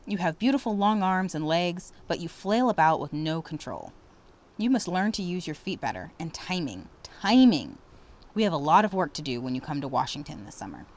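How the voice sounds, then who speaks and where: A woman's voice: deep voice, big pitch swings, moderate pace. A woman voicing a short, strict male ballet master in a storybook, commanding and cutting in a theatrical way. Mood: firm, formal.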